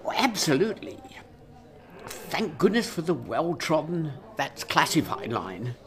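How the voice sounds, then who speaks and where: Actor as a animated, exasperated Minister. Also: male voice, a little high, unhurried, heavily acted. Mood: wry, concerned. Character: exasperated, sharp-tongued British Prime Minister under pressure. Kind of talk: radio drama acting.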